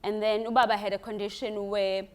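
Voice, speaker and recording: A woman's voice: medium pitch, flat delivery, fairly quick. A young South African woman doctor, telling her story in an interview and mixing isiZulu into her English. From South Africa, KwaZulu-Natal. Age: young adult. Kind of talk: casual interview chat.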